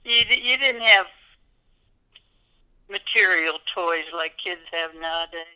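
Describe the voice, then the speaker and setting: An older woman from Kenya, being interviewed and remembering childhood games in a gentle, thoughtful way. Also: fairly low pitch, a little slow, clean tone, rough recording. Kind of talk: casual reminiscing.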